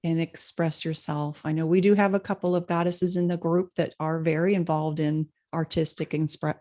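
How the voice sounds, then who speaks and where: A woman's voice: sits low, level tone, moderate pace, warm tone. A woman co-hosting a casual spiritual chat, hesitant, with many fillers, stumbling over names. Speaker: podcast co-host.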